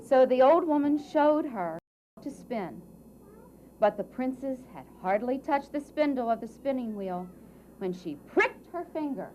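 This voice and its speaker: A woman narrating a scripted fairy tale for a puppet show in a theatrical way, with dramatic pauses and emphasis. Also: sits high, lively intonation, dark tone. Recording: muffled, faint background noise.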